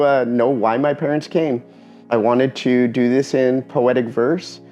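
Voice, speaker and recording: A man giving a personal talk in an earnest, reflective way, pausing often between phrases. Spanish is his first language. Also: mid-range voice, darkish tone. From Eastern Washington, USA.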